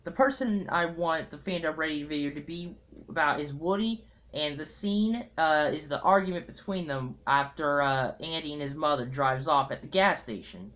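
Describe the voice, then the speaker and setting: A young man talking casually to viewers in a halting, hesitant way, recorded at home on a tablet's built-in mic. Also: fairly high pitch, a bit bright, muffled recording. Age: young adult. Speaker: amateur fandub video maker. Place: small room at home. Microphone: tablet built-in mic at arm's length.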